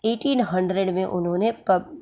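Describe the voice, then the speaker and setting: A woman with a hoarse throat and an Indian English accent, teaching English literature for exams and explaining each word slowly. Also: on the low side, dark tone. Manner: slow, explaining word by word, checks understanding. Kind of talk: exam-prep lecture in mixed Hindi and English.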